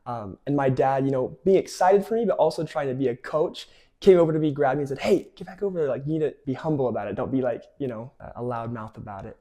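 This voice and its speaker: A man's voice: medium pitch, fast talker, warm tone. A man of twenty-one with an American accent, telling stories casually in an interview as a college athlete. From California, United States. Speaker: college soccer player.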